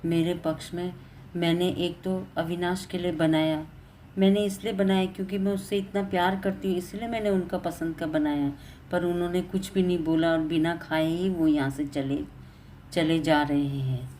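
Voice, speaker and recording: A woman's voice: lowish voice, flat delivery, normal speed, warm tone, slight background noise, close to an everyday voice. A woman voicing a meek, dutiful young daughter-in-law in a dramatised story, respectful, pleading and easily hurt. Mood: hurt, self-pitying.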